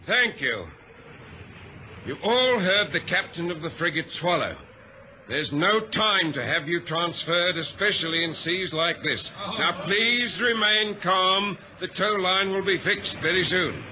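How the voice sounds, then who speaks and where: Bright-voiced commanding ship's captain. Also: male voice, sits high, lively intonation, a little slow, exaggerated voice, low-quality recording. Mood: firm, calming. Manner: crisp, authoritative, clipped orders. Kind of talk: old radio drama acting. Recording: hissy vintage radio recording.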